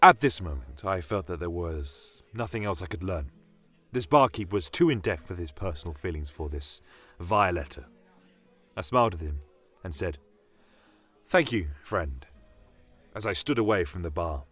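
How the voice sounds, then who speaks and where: A man narrating a scripted first-person fantasy tale in a measured, solemn, dramatic manner. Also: low voice, animated, fairly bright tone, soft background music. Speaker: storyteller.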